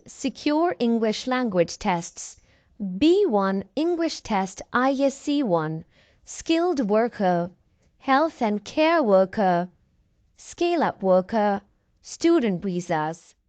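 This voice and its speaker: A woman slowly reading a list of test names. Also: fairly high pitch, sing-song, a little mellow, no echo. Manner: slow, deliberate listing.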